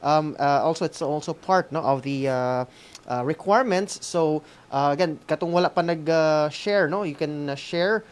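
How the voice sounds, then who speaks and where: A Filipino co-host of a radio school program giving announcements to students in a halting way, often ending phrases with a questioning "no?". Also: medium pitch. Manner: halting, often adds "no?" as a tag. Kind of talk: semi-scripted announcements to students on air.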